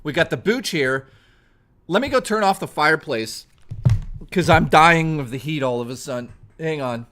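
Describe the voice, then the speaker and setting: A young man streaming live, chatting casually and excitably with viewers and swearing often. He is hoarse and losing his voice. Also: fairly high pitch, normal speed, a little hiss or hum.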